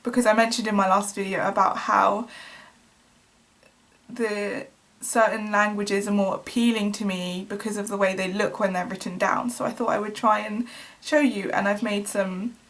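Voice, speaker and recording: A woman's voice: medium pitch, fairly even intonation, moderate pace, a bit bright. A young woman talking to camera in a chatty, enthusiastic vlog style, with frequent ums and small restarts as she explains. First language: English. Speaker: vlogger.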